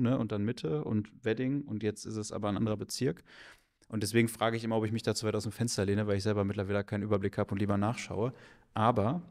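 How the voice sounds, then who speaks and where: A young man co-hosting a casual podcast in a studio, rambling through quick explanations into a handheld mic. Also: lowish voice, a little mellow. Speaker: podcast co-host. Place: studio with green plant wall. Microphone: handheld dynamic mic.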